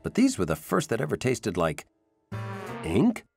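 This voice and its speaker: A man narrating a children's cartoon in a scripted, gently playful storyteller manner. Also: mid-range voice, huge pitch swings, unhurried, gravelly. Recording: cartoon soundtrack with faint music.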